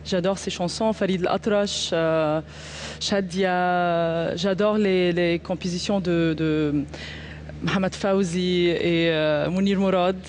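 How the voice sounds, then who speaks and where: A woman's voice: on the low side, fairly even intonation, slow, darkish tone, a little hiss or hum. A young Egyptian woman, an opera singer, answering interview questions live outdoors, hesitant and laughing easily.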